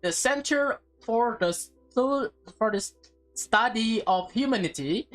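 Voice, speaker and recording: Woman putting on a pompous alien scientist, raised pitch. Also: animated, unhurried, soft background music. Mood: grand, formal. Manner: formal, grandiose, condescending. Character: pompous alien scientist addressing a human test subject. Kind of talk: visual novel read-aloud in character.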